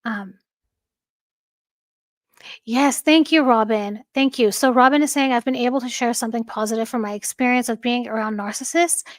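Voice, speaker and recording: Soft-voiced, high-pitched woman streamer. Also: moderate pace, no echo, clean studio sound. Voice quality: soft, calming voice. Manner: chatty, warm thanks to viewers, frequent fillers and light laughs. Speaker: livestream host. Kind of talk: casual chat reading viewer comments. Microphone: home streaming microphone.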